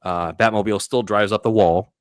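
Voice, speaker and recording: A man with a low-pitched voice hosts a film discussion. He talks casually through scenes one after another, recorded dry with little room sound. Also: steady tone, brisk, dry recording. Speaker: podcast host.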